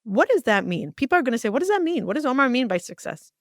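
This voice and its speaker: A woman hosting an interview, who asks probing questions and pushes back to make points clear. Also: fairly high pitch, sing-song, fast talker, no room sound, studio quality. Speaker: interviewer, host.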